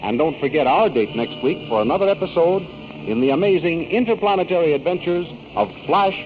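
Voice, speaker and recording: Animated old-time radio announcer man. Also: fairly high pitch, brisk, over music. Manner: emphatic, formal salesmanship. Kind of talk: 1930s radio announcing, scripted promotion. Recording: noisy, dull old radio recording.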